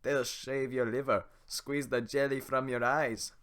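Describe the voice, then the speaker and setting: A man voicing a big gruff male ogre, drawing out his threats with smug menace. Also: mid-range voice, flat delivery, fairly bright tone, pitched above the performer's own voice, lightly acted. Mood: menacing. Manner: mock-menacing, drawn-out threats.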